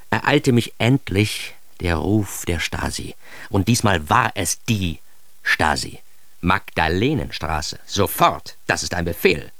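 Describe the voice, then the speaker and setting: A man's voice: low voice, no echo. An actor playing a self-aggrandizing, wry man telling his own absurd story in a radio drama monologue, ironic and theatrical. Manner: ironic, theatrical, shifting into hushed asides.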